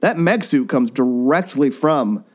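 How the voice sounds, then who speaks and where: A man with a mid-pitched voice hosts a relaxed comic book discussion. He talks in a joking, conversational way, interrupting himself and starting again as he goes. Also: unhurried. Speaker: podcast host. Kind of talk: casual chat about comic books. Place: basement.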